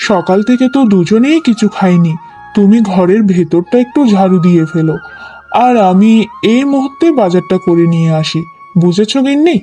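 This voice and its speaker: Caring young village husband for animation, played by a man; relaxed tone, reassuring and plain-spoken. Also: high voice, moderate pace, soft background music. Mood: contented, reassuring. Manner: reassuring, homely. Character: caring, contented young husband in humble home. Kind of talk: cartoon voice acting.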